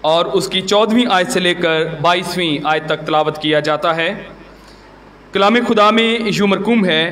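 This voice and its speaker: A man with a Pakistani accent speaking as a pastor, giving formal greetings and scripture in solemn, deliberate phrases. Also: sits high, a bit bright. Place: church gathering. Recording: noisy live church recording.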